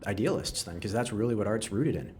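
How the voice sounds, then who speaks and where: A man's voice: lowish voice, lively intonation, quick pace, a little mellow, slightly rough. A man hosting an interview podcast, asking friendly, chatty questions with casual fillers. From Canada. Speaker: podcast host. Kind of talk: conversational interview.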